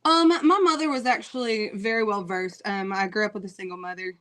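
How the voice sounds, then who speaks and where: A woman singer talking casually in an interview, chatty, laughing often, with many "um" pauses. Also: mid-range voice, a bit bright.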